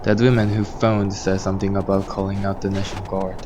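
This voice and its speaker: A man's voice: sits low, flat delivery, brisk, close to an everyday voice. A man voicing a cautious state trooper in a school radio play, speaking earnestly and a bit hesitantly. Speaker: student actor. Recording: clear background noise.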